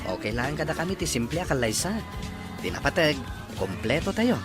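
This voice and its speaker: A man's voice: fairly high pitch, sing-song, fairly quick. A man playing a respectful, caring, easygoing young husband in an audio drama, with an Ilocano accent. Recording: music bed under the voice.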